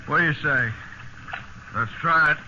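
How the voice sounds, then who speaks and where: An actor playing a hardworking, dutiful young sailor in a 1950s radio drama, plain-spoken and folksy. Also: male voice, a little high, measured pace, lightly acted. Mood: eager. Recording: muffled, very noisy old radio recording.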